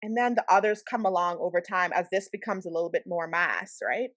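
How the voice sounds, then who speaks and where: Animated woman host from the Bahamas. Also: lowish voice, fairly quick, no room sound. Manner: animated, affirming with frequent laughs and mm-hmms. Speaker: podcast host. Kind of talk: casual podcast interview.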